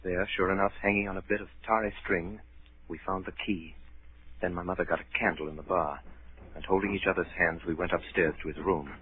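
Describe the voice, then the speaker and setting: Deep-voiced actor as a grave storyteller, old radio. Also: male voice, steady tone, a little fast, close to an everyday voice. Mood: grave, suspenseful. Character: grown man recounting his boyhood adventure, grave, suspenseful. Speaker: narrator in a radio drama. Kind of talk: 1930s radio acting, dramatic first-person storytelling. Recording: muffled, noisy 1930s radio broadcast.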